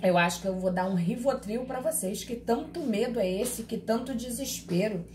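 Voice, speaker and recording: A woman's voice: a little high, normal speed. A chatty woman with a Carioca accent lectures on law, teasing her students by name between points. Her voice sits in an ordinary middle range. She is recorded in an echoey room with faint ambient noise behind her. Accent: Carioca Portuguese. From Rio de Janeiro, Brazil. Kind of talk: live online class, reading chat questions.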